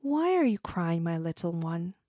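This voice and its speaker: A woman voicing a kind, wise young princess who speaks sweetly and softly. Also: medium pitch, big pitch swings, measured pace, gravelly, breathy edge, dry recording, clean studio sound, exaggerated voice. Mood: gentle. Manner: sweet and soft, tender.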